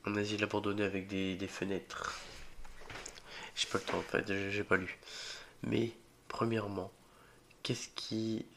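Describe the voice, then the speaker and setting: A young man talks his way through a horror game with a head cold that roughens his fairly low, gravelly voice. He jokes, mutters and swears when startled, admitting he is scared. Faint keyboard clicks and typing sit behind him. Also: level tone, a little slow, pauses often, noisy background. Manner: jokey, easily spooked, mutters reactions and swears. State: has a cold, voice a bit rough. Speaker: gaming video creator. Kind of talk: solo horror game let's play commentary.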